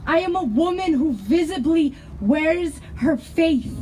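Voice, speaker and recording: A young woman from Denver performing spoken word poetry, rhythmic and impassioned, building to shouted lines. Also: high-pitched, animated, slow, slight background noise. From Denver, Colorado.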